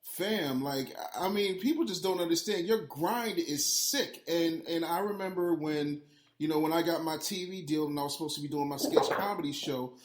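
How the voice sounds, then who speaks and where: A man with a fairly high voice hosts a podcast in a loose, slangy way. He talks with hyped, effusive enthusiasm, and the sound is clearly roomy and echoey. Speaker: podcast host. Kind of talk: casual interview and ad read.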